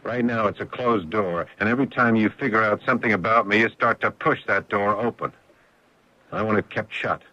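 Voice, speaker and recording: A man's voice: lowish voice, a little fast, sharp tone, no room sound. An actor playing an easygoing, guarded amnesiac handyman in an American radio drama, with a hesitant, plain-spoken delivery and an American accent. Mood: guarded, pleading. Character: easygoing amnesiac handyman, guarded about his forgotten past. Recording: dull, dry old radio recording.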